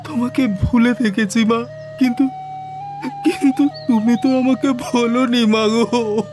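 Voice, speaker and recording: High-pitched, anguished male scientist. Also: fairly even intonation. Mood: anguished, weeping. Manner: slow, emotional, halting with repeated words. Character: grief-stricken adult male scientist, devoted son. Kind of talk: dramatized audio story acting. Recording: studio voice under loud music.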